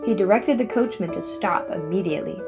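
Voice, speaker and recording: A woman's voice: mid-range voice, fairly quick. Woman reading a children's fairy tale over music. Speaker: storyteller.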